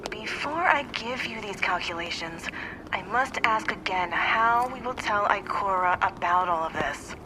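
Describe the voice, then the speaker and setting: A woman's voice: mid-range voice, lively intonation, a little slow, lightly acted. Formal female machine companion voiced by a woman, noisy backdrop. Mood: insistent. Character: female Ghost, small floating machine companion, questioning. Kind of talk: scripted audio drama acting.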